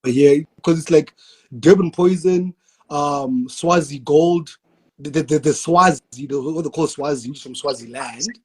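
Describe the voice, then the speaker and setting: South african guy on a podcast, bit husky, slightly high voice, laid-back slangy chat. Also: measured pace, darkish tone. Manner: loose, laid-back slang, frequent restarts. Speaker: podcast guest. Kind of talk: casual chat.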